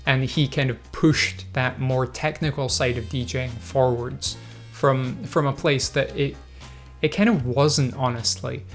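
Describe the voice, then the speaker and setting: A man's voice: medium pitch, fairly even intonation, measured pace, soft background music. A man hosting his own video, talking through viewer comments in a thoughtful, hesitant way with many fillers and restarts. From Scotland. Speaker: video host. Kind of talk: casual reflective commentary.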